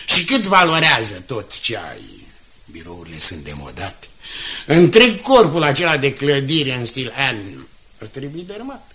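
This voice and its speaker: A man's voice: medium pitch, huge pitch swings, sharp tone. An actor playing a smug, condescending older board chairman in a radio drama, with a patronising and theatrical delivery. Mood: cool, dismissive. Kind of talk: Romanian radio drama acting.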